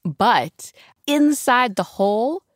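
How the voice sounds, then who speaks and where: A woman's voice: a little high, big pitch swings, slow, no echo, studio quality. A woman reporting in a conversational, informal storytelling style, leaning on key phrases. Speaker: radio science correspondent and reporter.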